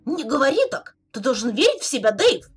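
Casting: woman as teenage alien troll girl, bossy and teasing, high and swooping, theatrical webcomic dub. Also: big pitch swings, a little fast, breathy edge, faint music behind, exaggerated voice. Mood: playful, encouraging. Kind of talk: fan dub of a webcomic chat.

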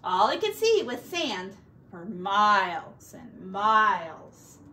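A middle-aged woman, a teacher reading a picture book to children in an expressive, deliberate way, recorded indoors from a few feet away. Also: mid-range voice. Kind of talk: animated children's storybook read-aloud. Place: small home room, indoors. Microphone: camera a few feet away. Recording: distant camera mic, faint background noise.

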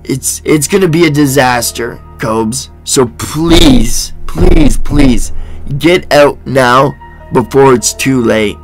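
A man with a stuffed-up nose giving mocking reaction commentary, laughing often. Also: mid-range voice. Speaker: commentary host. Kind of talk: reaction commentary over a stream clip. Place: voice-over, not the pictured room.

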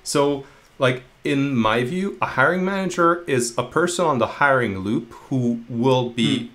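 A man in his thirties from Hungary, a guest in a video-call interview, talking in a quick, casual way with many restarts and repeated words. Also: mid-range voice, a bit bright. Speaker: guest, engineering manager. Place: home room, video call. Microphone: earphone headset mic.

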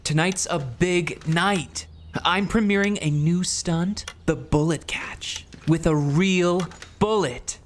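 A driven stage magician and devoted adult son in an audio theatre piece. He sounds harried and hesitant, halting as he placates and reassures. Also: on the high side, slight background noise. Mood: earnest, eager. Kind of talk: musical audio theatre acting.

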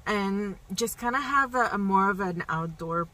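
A woman's voice: mid-range voice, moderate pace, fairly bright tone, recorded from a distance. A woman in her thirties vlogging casually for her plant channel, shivering from the cold and rambling with lots of fillers, filmed on a phone at arm's length in a truck. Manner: chatty and rambling, many fillers. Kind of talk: casual vlog chat. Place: inside a truck cab, earlier outdoors at a plant nursery. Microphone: phone held at arm's length.

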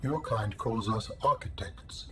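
Man voicing a low, formal, detached alien mind. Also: over music, lightly acted. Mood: matter-of-fact. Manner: measured, stilted, formal. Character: formal, detached male alien intelligence, ancient and literal-minded. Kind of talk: scripted video game dialogue.

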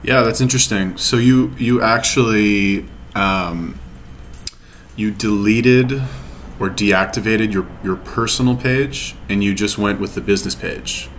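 A man's voice: lowish voice, a little slow, a bit bright, a little hiss or hum. A man in his thirties hosting an interview over a video call, asking questions with hesitant "um"s and "you know"s. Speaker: interview host. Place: home room indoors. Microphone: video call webcam.

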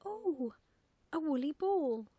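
Woman acting a little girl, storybook, bossy know-it-all, high voice, emphatic, proud. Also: normal speed, darkish tone, dry recording, muffled recording. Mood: surprised. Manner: emphatic, matter-of-fact explaining.

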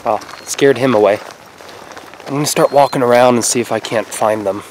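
A young man casually narrating his fishing trip, speaking quietly as he stalks fish by a lake. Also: brisk, soft background music, low-quality recording. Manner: hushed and low while stalking fish. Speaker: fishing vlogger. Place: outdoors by lake flats, partly in a car. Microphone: action camera on head or in hand.

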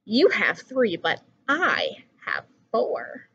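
Young female storybook reader, singsong and expressive, slow pacing, big pitch swings, slightly lo-fi recording. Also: slightly rough. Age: young adult. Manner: animated, singsong rhyming delivery. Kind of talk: expressive picture book read-aloud.

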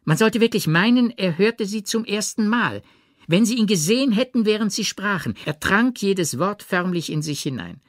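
A man's voice: high-pitched, expressive, normal speed, dry recording, studio quality, voice pushed up. A man voicing a gushing, bossy society hostess in an audiobook, effusive and exclamatory. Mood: scolding, gushing. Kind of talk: audiobook character reading.

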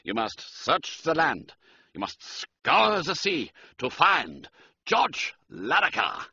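A storybook German count, stiff and florid in manner. The voice is bright and forceful, swinging widely in pitch, with a slightly rough edge. The recording is a little muffled. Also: male voice, wildly swooping pitch, slow, a little hiss or hum, full character voice. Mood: effusive. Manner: pompous, florid, ceremonious. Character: stiff, formal, effusive German count, royal equerry.

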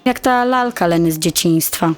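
A young woman playing a shy, insecure high-school girl who tells her own story in an audio drama, quiet and confiding. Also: moderate pace, natural voice. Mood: nervous, introspective. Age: teenager. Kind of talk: audio drama first-person narration. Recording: music bed under the voice.

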